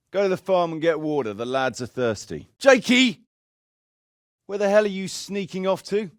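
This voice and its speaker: Game role: gruff medieval mercenary captain, commanding, foul-mouthed, wry; very animated intonation, dry studio sound. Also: male voice, fairly high pitch, no room sound. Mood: shouting, irritated. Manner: blunt, coarse, commanding, colloquial. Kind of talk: video game character voice acting.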